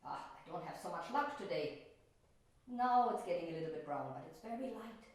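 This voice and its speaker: An older woman teaching a painting lesson to children, chatting gently and musing to herself as she works. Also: fairly low pitch, animated, fairly quick. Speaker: art teacher. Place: classroom in front of a chalkboard. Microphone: far camera. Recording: echoey room from far off.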